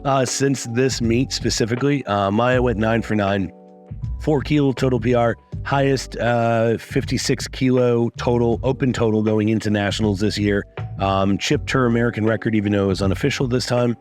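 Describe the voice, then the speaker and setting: A man coaching lifters, talking through results in a casual, upbeat recap with a raspy voice and plenty of swearing. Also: medium pitch, steady tone, normal speed, darkish tone, faint music behind. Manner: upbeat, swears freely, many fillers. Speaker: strength coach.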